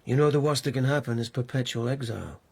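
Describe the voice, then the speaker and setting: An actor playing a patient, courteous lieutenant investigating a crime in imperial Japan, restrained and formal, in a radio drama. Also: male voice, medium pitch, quick pace, gravelly, darkish tone, slightly rough. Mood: patient, probing.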